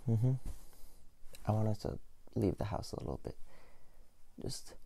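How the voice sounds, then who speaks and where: A man acts out an affectionate young boyfriend in an ASMR roleplay. His voice is very dark and soft with a gravelly fry, murmuring close to the mic in a teasing, clingy way. Faint noise and keyboard clicks sit behind him. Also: on the low side, a little slow.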